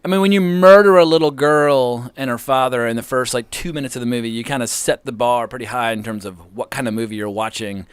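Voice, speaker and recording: A man's voice: mid-range voice, steady tone, fairly quick, hardly any pauses, clear, resonant tone, no echo. An American man co-hosting a casual film discussion, speaking in an articulate, emphatic and enthusiastic way. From United States, near the Appalachian Mountains. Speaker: guest co-host, film podcaster.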